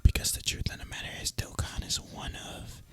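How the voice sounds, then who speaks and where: A person speaking in a breathy, unvoiced ASMR whisper, musing casually and informally as gaming commentary. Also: a little fast. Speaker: host of an ASMR gaming channel.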